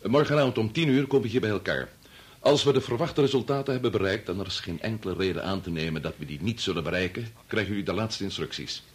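An actor in a Dutch radio play, playing a grave, resolute older doctor who speaks in a measured, formal, authoritative way. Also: male voice, on the low side, lively intonation, breathy edge. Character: grave, resolute senior doctor and father leading an experiment.

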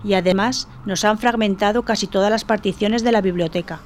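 Una mujer interpretando a una bibliotecaria agobiada, firme pero cortés, en una ficción sonora. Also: female voice, medium pitch, fairly quick, slight background noise, lightly acted. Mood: weary, firm. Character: harried, firm but courteous female librarian. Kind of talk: audio drama acting.

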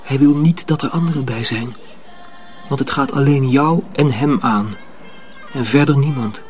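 A man's voice: mid-range voice, normal speed, soft background music, lightly acted. An actor playing an earnest, secretive young mill apprentice in a radio drama, protective of his younger comrade. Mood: earnest, pleading.

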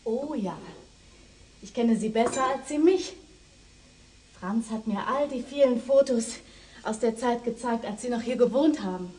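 An actress playing a gentle, composed, discreet woman in an intimate radio drama, with a breathy, husky voice. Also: a little high, a little slow, long pauses, a little hiss or hum, lightly acted, rough recording. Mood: soft, gentle.

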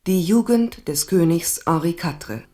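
A woman's voice: on the low side, expressive, measured pace, warm tone. A woman giving a formal programme announcement as a radio announcer.

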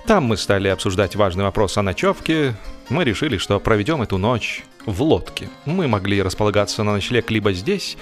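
A man's voice: fairly low pitch, animated, brisk, soft background music. Man narrating a humorous story expressively. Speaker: audiobook narrator and performer.

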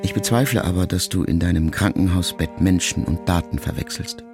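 A man reading a literary audiobook in a measured, reflective, intimate first-person tone, music clearly heard beneath. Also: low voice. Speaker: audiobook narrator. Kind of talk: scripted literary narration.

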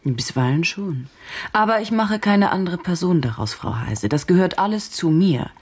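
Husky, probing young journalist. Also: female voice, fairly low pitch, animated, moderate pace, darkish tone. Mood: earnest. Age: 30s. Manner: earnest, questioning, hesitant. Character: probing, uneasy journalist woman in her early thirties. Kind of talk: radio drama acting.

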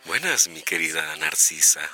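An actor playing a lecherous, smooth-talking congressman in a radio soap, oily and insinuating. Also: a bass voice, sing-song, normal speed, music in the background, low-quality recording. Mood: suggestive. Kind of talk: radio soap opera acting.

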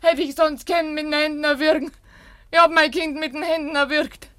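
A woman's voice: sits high, fairly even intonation, fairly quick, fairly bright tone, dry recording, clean studio sound. An actress playing a desperate, broken young country woman in a German radio tragedy, with an anguished delivery and a Silesian accent. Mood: furious, anguished. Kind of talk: German radio play acting, dialect tragedy.

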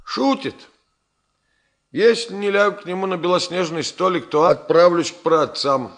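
An actor playing an ailing, stubborn engineer, talking in a musing, ironic way in a Soviet radio drama. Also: male voice, high voice, moderate pace, lightly acted. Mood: wry. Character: ailing male engineer, wry, reflective, stubborn.

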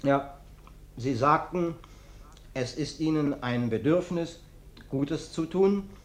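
An actor playing a slyly humble, self-pitying vagrant houseguest in a German radio play, with a plaintive, wheedling manner. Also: male voice, on the high side, slow pace. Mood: sly, composed.

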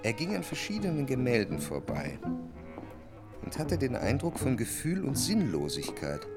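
A man's voice: medium pitch, huge pitch swings, normal speed, loud music behind the voice. A man narrating a literary radio drama in a reflective, earnest manner, recorded close in a studio. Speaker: first-person narrator. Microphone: close, dry studio.

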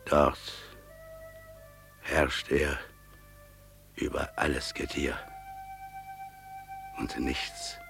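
An actor playing a devout father in a radio play, praising God's creation in solemn, exalted declamation with drawn-out emphatic phrases. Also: extremely low pitch, level tone, vocal fry, a bit bright, faint music behind, full character voice. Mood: fervent exhortation.

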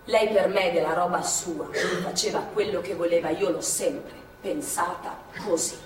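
A woman's voice: fairly low pitch, lightly acted. An actress playing a plain-spoken, fiery former partisan woman, telling war memories in an emphatic, colloquial theatrical monologue. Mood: tender, wistful. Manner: colloquial, emphatic, halting for effect. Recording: echoey theatre, distant mic, faint noise.

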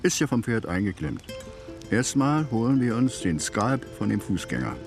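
An actor playing a rough, boastful frontier Indian hunter telling war stories in a German radio drama. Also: male voice, mid-range voice, big pitch swings, normal speed, soft background music. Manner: boastful, colloquial storytelling.

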